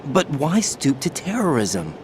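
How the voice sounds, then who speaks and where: An actor playing a young American special forces operative in a video game, earnest and questioning, with military formality. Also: male voice, fairly high pitch, wildly swooping pitch, measured pace, slight background noise. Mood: shocked, confused. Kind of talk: dramatic video game voice acting. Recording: music behind the voice.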